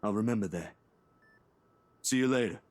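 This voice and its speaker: A man's voice: lowish voice, normal speed, darkish tone, close to an everyday voice. An actor playing a concerned, dutiful young man in a visual novel game. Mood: subdued.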